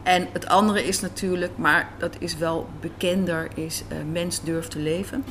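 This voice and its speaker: A woman with a fairly low voice answers in a conversational, reflective interview style. Clear café background noise sits behind her as she speaks. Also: steady tone, moderate pace, a bit bright. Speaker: guest, radio drama writer and director. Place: indoors in a café.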